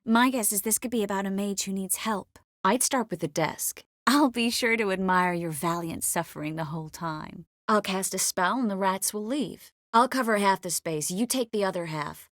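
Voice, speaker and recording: An actress voicing a young sorceress in a video game, gentle, earnest and determined, with a measured, expressive delivery. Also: female voice, mid-range voice, dry recording, clean studio sound, lightly acted. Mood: calm, matter-of-fact. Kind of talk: video game character voice lines.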